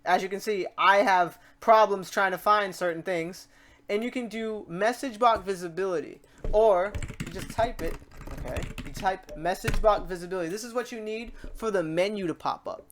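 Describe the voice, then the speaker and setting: Animated, informal tutorial host with a mid-range voice. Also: mid-range voice, moderate pace, a little hiss or hum. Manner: animated, informal slang, acts out learner questions and exclamations. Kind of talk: casual software tutorial, talking the viewer through steps.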